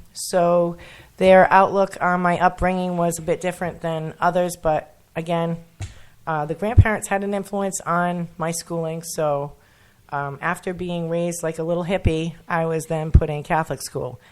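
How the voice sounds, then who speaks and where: A woman's voice: fairly low pitch, fairly even intonation, moderate pace. A woman in her forties with a Rhode Island accent, a guest on a local radio talk show who laughs often and speaks earnestly. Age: 40s. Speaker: guest, children's meditation teacher. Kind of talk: casual radio interview.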